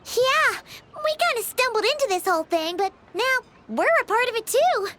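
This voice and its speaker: A woman's voice: very high-pitched, very animated, moderate pace, very noisy. A woman voicing a tiny female fairy companion in a game, chirpy and eager, who speaks of herself in the third person. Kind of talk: video game voice acting. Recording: game audio with soft music behind.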